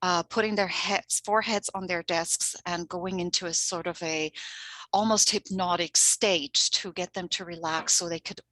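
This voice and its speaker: A woman's voice: fairly low pitch, fairly even intonation, normal speed, fairly bright tone, no room sound, low-quality recording. A middle-aged woman from Switzerland, a native Swiss German speaker, presenting a language-teaching workshop in an earnest, chatty way and laughing often. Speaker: language coordinator.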